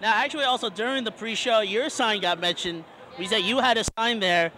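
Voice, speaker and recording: A man's voice: high voice, brisk, sharp tone, a little hiss or hum. A man hosting a casual fan video, chatting upbeat and introducing clips. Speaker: host. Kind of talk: casual panel chat.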